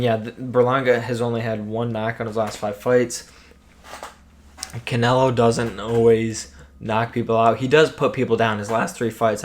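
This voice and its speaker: A young man casually giving his boxing fight prediction, rambling with many fillers while his voice keeps cracking. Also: medium pitch. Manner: rambling, many ums and you knows. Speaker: boxing commentator. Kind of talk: casual solo prediction talk.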